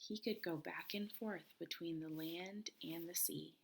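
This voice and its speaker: A woman reading a children's picture book aloud expressively, with dramatic pauses, chatty asides and questions for her young listeners. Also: lowish voice, vocal fry, slight background noise, rough recording. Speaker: children's read-aloud host.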